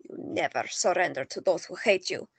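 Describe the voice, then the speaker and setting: An actress playing an elderly woman in her nineties, a proud former resistance fighter, speaking firmly and warmly. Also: on the low side, moderate pace, creaky, slightly rough, no echo, heavily acted. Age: older. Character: elderly woman, war veteran, proud and firm. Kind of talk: audio drama acting.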